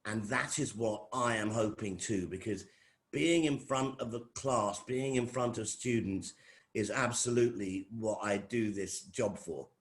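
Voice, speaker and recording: A man's voice: on the low side, measured pace. Rambling middle-aged Englishman. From England. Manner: rambling, repeats phrases and restarts sentences. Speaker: college lecturer hosting an information session. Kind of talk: informal welcome talk to prospective students.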